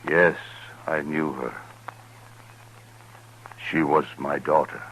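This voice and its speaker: An actor playing a dignified, stoic chief in a 1950s radio western, speaking formally and sparingly. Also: male voice, a bass voice, flat delivery, slow pace. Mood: restrained grief. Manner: solemn, formal, sparing with words. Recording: faintly noisy 1950s radio broadcast.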